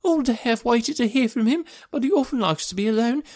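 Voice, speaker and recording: A devoted old housekeeper from a classic storybook, voiced by a male reader. She speaks in a very high, tremulous, lilting voice, effusive and deferential to her betters. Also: animated, a little fast, a little mellow. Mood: worried, urging. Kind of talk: acted audiobook character dialogue.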